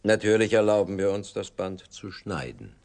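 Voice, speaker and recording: An actor playing a smug, menacing company director in a German radio drama, polished, sardonic and theatrically courteous. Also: male voice, fairly low pitch, lively intonation, normal speed, a little mellow, natural voice. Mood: smug, affable.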